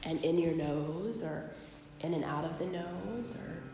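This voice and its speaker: Low-voiced woman guiding meditation in heavy reverb. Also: noisy background. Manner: gentle, unhurried with long pauses. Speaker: meditation guide. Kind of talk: guided body scan meditation. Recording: very echoey live recording, long reverb.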